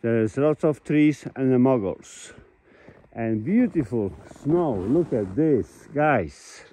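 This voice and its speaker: A man with as a giving slow, enthusiastic commentary into a phone while filming outdoors at a ski hill and a mountain river. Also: mid-range voice, expressive, mellow. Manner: slow, enthusiastic, simple phrasing with pauses. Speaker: vlogger.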